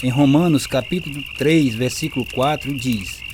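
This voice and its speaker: A man's voice: medium pitch, normal speed, background noise, lightly acted. A man playing an earnest, firm preacher in a radio drama, exhorting solemnly. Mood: earnest exhortation. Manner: solemn, exhorting, scripture-quoting. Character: earnest, firm male evangelical preacher counselling a girl.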